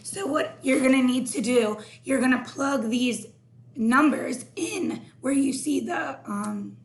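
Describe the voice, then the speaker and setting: A hoarse woman teaching a recorded math lesson, explaining each step slowly. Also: high-pitched.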